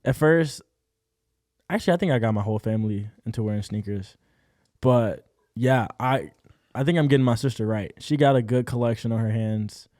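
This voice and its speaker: A young man as a guest on a casual podcast, with a mid-pitched voice recorded close and dry. He sounds easygoing and keeps his answers short and relaxed. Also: brisk, no room sound. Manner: easygoing, brief replies. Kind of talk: casual chat. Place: studio.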